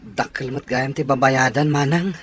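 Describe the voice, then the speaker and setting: A man's voice: mid-range voice, steady tone, normal speed, slight background noise, natural voice. A man playing a dutiful, self-conscious young boyfriend and son in an audio drama, speaking hesitantly and apologetically. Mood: concerned, obliging.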